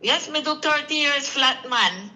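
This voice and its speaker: A man's voice: very high-pitched, a little slow, pushed and bright. A man in his late sixties with a Jamaican accent, calling in and chatting easily in Patois-flavoured speech. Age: 60s. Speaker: caller. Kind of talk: casual call-in chat.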